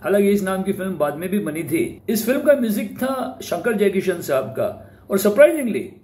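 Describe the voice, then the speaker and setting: A man's voice: high-pitched, big pitch swings, normal speed, a little mellow. A man hosting an informal, explanatory talk on music history, respectful in the way they name artists and mixing in English words. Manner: speaks Hindi with English words mixed in. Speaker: host presenting film music history. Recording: roomy echo, faint background noise.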